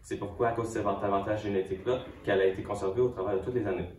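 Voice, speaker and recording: A man's voice: fairly low pitch, steady tone, very fast, darkish tone, a little hiss or hum. A young man student giving a science fair presentation, hesitant, with fillers and restarts. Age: young adult. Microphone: far camera. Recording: echoey room from far off.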